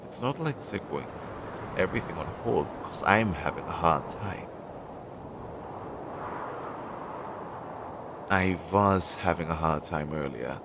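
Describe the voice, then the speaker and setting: A man playing an anxious, devoted crow familiar in an intimate audio roleplay, speaking softly and hesitantly, with pauses. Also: lively intonation. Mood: downcast, anxious. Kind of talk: intimate second-person audio roleplay acting. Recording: low-quality recording with clear noise.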